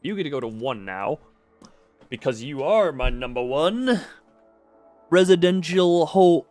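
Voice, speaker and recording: A man's voice: a little high, big pitch swings, a little slow, a little mellow, soft background music. A man giving casual live video game commentary, thinking aloud in a rambling, self-interrupting way with playful, self-deprecating wordplay.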